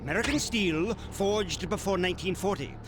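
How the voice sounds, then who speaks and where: A man's voice: high voice, normal speed, noisy background, natural voice. A man playing an eccentric, greedy villainous inventor in a video game, speaking with pompous technical precision. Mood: smug, explanatory. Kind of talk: video game cutscene acting.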